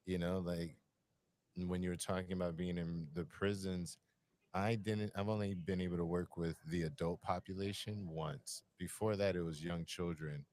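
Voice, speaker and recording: A man with a deep voice, musing at length in a reflective conversation. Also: steady tone, normal speed, darkish tone. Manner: musing, searching, repeats phrases.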